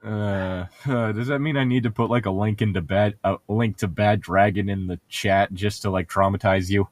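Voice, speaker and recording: A man's voice: on the low side, steady tone, normal speed, no room sound, studio quality. A man co-hosting a podcast in casual joking banter over a book being read aloud, sarcastic and teasing. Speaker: podcast co-host.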